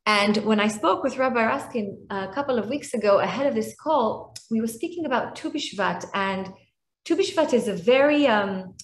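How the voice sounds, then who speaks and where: A woman's voice: fairly high pitch, lively intonation. A woman in her forties with an Israeli accent and Hebrew as her first language, speaking earnestly on a video call from a small room at home, often restarting her sentences. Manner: earnest, warm, restarts often, slows on emotional points. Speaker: guest speaker and author. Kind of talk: heartfelt talk on a video call. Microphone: webcam on a video call.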